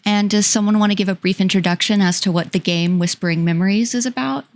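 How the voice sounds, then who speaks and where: A woman's voice: medium pitch, fast. A woman hosting an online interview and asking friendly, curious questions. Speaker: podcast host.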